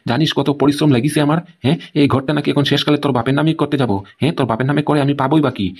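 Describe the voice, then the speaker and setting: A man's voice: a little high, fairly even intonation, racing, husky. A man voicing an indignant, stubborn husband in an amateur comedy cartoon, scolding and tacking questions onto his sentences. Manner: scolding, repeats tag questions. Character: indignant, stubborn husband guarding his hard-earned house.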